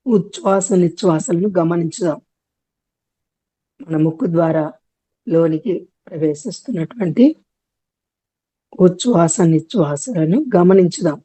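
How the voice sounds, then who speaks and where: A woman leading a guided meditation, giving gentle instructions in short, often repeated phrases. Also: lowish voice, unhurried, long pauses, breathy edge, low-quality recording.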